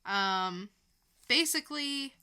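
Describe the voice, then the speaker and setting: A woman's voice: fairly high pitch, lively intonation, slow pace, bright, forceful tone, clean tone. A woman co-hosting a podcast, chatting casually in a hesitant, informal way. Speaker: podcast co-host. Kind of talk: casual chat.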